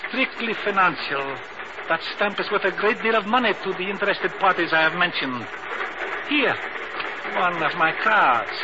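An actor playing a shady, mercenary informant with a florid, precise, courtly manner, in 1950s radio drama style. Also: male voice, sits high, moderate pace, natural voice. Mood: smooth, mercenary. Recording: muffled, noisy old radio recording.

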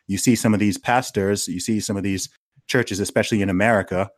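A man's voice: on the low side, steady tone, quick pace, no room sound. Earnest man in a dry close room. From grew up in Saudi Arabia. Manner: earnest, many restarts and "you know". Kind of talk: casual conversation.

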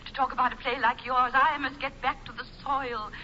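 An actress in a 1940s radio comedy playing a theatrical, imperious stage star who speaks in an affected, effusive manner. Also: female voice, high voice, fairly even intonation, fairly quick, pushed and bright. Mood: gushing. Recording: muffled, noisy old radio broadcast.

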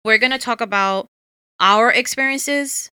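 A woman's voice: on the high side, a little slow, pushed and bright, clear, resonant tone, dry recording. A woman co-hosting a podcast in casual chat, steering the discussion as she talks. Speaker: podcast co-host.

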